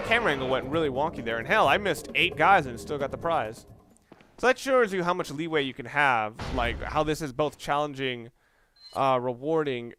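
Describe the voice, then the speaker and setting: A man giving casual, off-the-cuff video game commentary, rambling and often rephrasing himself mid-sentence. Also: a little high. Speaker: let's play commentator. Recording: loud game music and gunfire behind. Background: video game music and gunshots.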